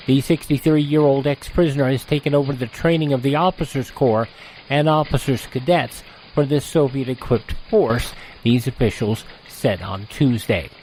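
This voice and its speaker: American man, radio news reader and presenter, fairly high voice, scripted copy over slight noise. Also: darkish tone, low-quality recording.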